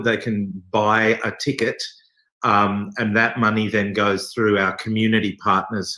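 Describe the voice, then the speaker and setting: A man's voice: fairly low pitch, pushed and bright. An Australian man speaking as an interview guest from a theatre company, explaining things conversationally with hesitant asides. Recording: echoey room sound.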